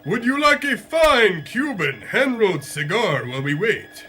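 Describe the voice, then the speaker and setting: A polite pulp villain in a radio serial, high and bright in voice, with pitch that swoops dramatically. He is courteous and theatrical, like a foreign mastermind hosting a guest. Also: big pitch swings, measured pace, slight background noise, exaggerated voice. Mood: calm, courteous. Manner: theatrical, polite, calling people comrade. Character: stoic, courteous foreign villain scientist in fur hat.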